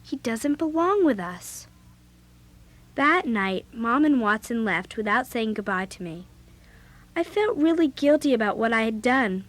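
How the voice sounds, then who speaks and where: Outspoken young girl, high voice, lively intonation, emphatic, spirited, audio drama storytelling. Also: unhurried. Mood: earnest. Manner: emphatic, youthful. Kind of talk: first-person audio drama narration and dialogue.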